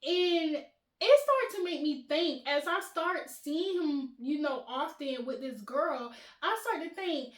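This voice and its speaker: A woman hosting her channel, telling a storytime in casual chat with a high-pitched voice, animated and laughing often. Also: takes it slowly, echoey room. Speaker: vlogger, channel host.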